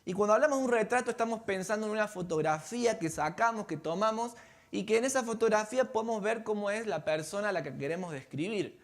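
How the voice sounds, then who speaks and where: Argentine man, pastor's sermon, high voice, asks the pews questions, church sanctuary. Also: animated, fast. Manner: conversational, engages congregation with questions.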